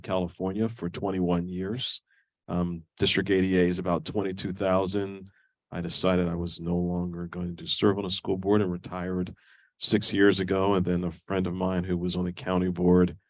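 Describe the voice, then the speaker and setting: A man's voice: low voice, darkish tone, no room sound. An older man with a cold, sounding congested, giving an emphatic presentation to a school board as a search firm consultant, often asking "right?". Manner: emphatic, repeats himself, frequent ums and rhetorical "right?". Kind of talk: persuasive presentation at a public meeting. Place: board meeting room.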